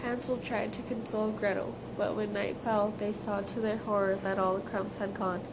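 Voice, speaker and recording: A woman's voice: mid-range voice, flat delivery, normal speed. A woman reading scripted storybook narration aloud, occasionally stumbling on a word. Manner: reads aloud, stumbles on words. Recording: clearly noisy live recording.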